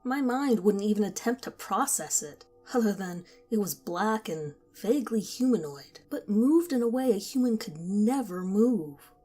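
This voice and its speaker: A woman reading a first-person horror story aloud, in a quiet, measured storytelling voice. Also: medium pitch, expressive, soft background music. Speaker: narrator. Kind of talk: scripted horror story narration.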